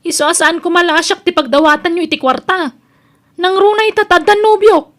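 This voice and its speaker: A woman's voice: very high-pitched, fairly quick, a bit bright. A woman with an Ilocano accent acting a resentful, nagging young daughter in a radio drama. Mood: scolding. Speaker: drama voice actor.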